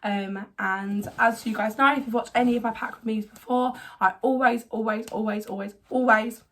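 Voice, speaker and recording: A young woman with a hoarse voice from a cold, chatting casually at home as she walks through her packing. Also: a little high, steady tone, moderate pace, fairly bright tone. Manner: chatty, rambling, filler-heavy. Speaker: vlogger. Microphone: camera on tripod.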